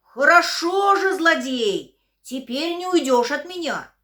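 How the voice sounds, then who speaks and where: A woman voicing Likho, a huge menacing one-eyed ogress, loud and gloating. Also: sits high, animated, slow pace, pitched above the performer's own voice, full character voice. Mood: furious. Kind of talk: puppet theatre storybook reading.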